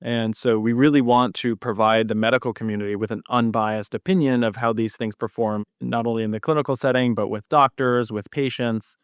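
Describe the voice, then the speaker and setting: A young man talks as a medical expert guest on a podcast. His mid-pitched voice is smooth and clean, recorded dry, as he explains things in a conversational way. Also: fairly even intonation, brisk, hardly any pauses, no echo. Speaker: cardiology fellow, podcast guest. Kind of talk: explanatory interview talk.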